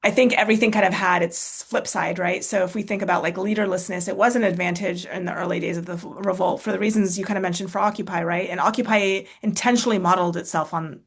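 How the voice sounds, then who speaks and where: A woman with a slightly low voice talks through her answers on a video call. She is thoughtful and casual, restarting sentences, adding "um" and "right?" as she goes. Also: fairly even intonation, quick pace, vocal fry, hardly any pauses, slightly rough. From United States. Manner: thoughtful, many fillers and restarts, tag questions. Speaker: author and speaker.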